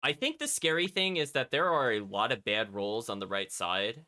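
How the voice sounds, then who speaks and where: A man's voice: medium pitch, expressive, moderate pace, pushed and bright. A man giving casual live commentary as a video game streamer, thinking aloud and chatting to his viewers. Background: game music.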